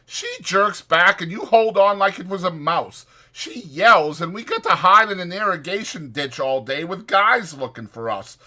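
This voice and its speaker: A man's voice: high voice, animated, normal speed, hardly any pauses, pushed and bright, voice pushed up, full character voice. A man voicing a short-tempered, exasperated migrant ranch worker, scolding in slangy rural American working talk. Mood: angry scolding.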